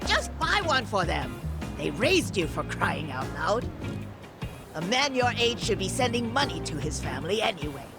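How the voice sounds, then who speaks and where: An actress voicing a sharp-tongued, teasing, streetwise woman in a video game dub. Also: mid-range voice, big pitch swings, moderate pace, vocal fry, over music. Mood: scolding. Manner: teasing, sardonic. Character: sharp-tongued, mocking, streetwise woman needling a young gangster.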